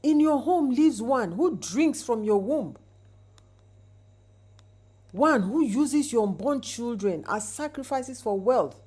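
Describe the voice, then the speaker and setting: A woman voicing a grave, prophetic male pastor in a folktale, speaking solemnly and deliberately. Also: fairly high pitch, animated, a little mellow, slightly rough, voice pushed up, heavily acted. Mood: grave warning.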